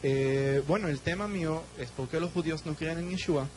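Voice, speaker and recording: Guest preacher, Israeli man, Hebrew, short phrases left for the interpreter, slightly high voice, faint hum. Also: normal speed, darkish tone, rough recording. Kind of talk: sermon with live interpretation.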